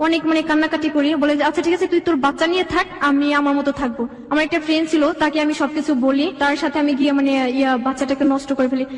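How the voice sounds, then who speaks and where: A woman's voice: high-pitched, level tone, fast talker, few pauses. A young Bangladeshi woman telling her own life story as an interview guest, in rambling run-on sentences. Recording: echoey room with long reverb.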